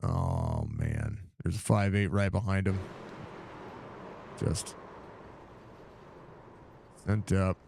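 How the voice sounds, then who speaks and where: A man with a stuffy, congested voice calling a live sim race, excited and rambling with lots of fillers. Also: deep voice, extremely slow, creaky, long pauses, noisy background. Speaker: sim racing broadcaster.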